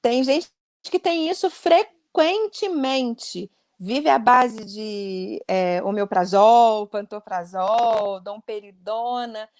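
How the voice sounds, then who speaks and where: A woman with a high voice talks through voice care in an earnest, explanatory way. She speaks over a video call, and the sound is very dry. She often ends sentences with "né?" as she goes. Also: takes it slowly, darkish tone, no room sound. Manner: earnest and explanatory, many "né?" tags. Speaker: speech therapist guest.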